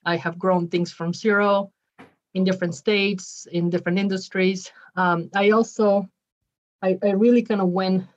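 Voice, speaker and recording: A woman's voice: mid-range voice, level tone, normal speed. Woman, 40s, from Ecuador, podcast guest, upbeat, rambling restarts, muffled audio. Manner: chatty and upbeat, with frequent ums and restarts. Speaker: nonprofit leader and guest. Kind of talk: podcast interview.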